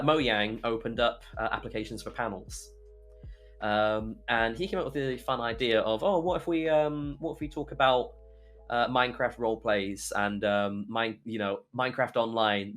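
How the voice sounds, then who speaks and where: A man's voice: fairly low pitch, fairly bright tone. A young British man telling stories casually as a podcast guest, chatty and rambling as he goes. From United Kingdom. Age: young adult. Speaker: podcast guest, YouTuber and streamer.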